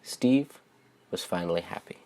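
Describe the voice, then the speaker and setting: A man's voice: low voice, lively intonation, a little slow, mellow, poor audio. Man reading a children's storybook. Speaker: children's book author reading aloud.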